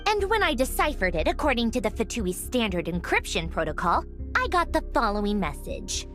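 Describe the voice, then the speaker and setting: Brash, animated, high-voiced young woman. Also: brisk, faint music behind. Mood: analytical. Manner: animated, blunt, sardonic. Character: sharp, brash, analytical young woman in fantasy game. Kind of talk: video game voice acting.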